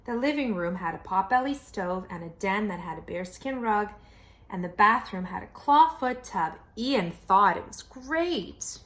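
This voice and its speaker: Female picture-book reader, expressive narration, mid-pitched, with dramatic emphasis. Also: unhurried. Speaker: children's storybook reader.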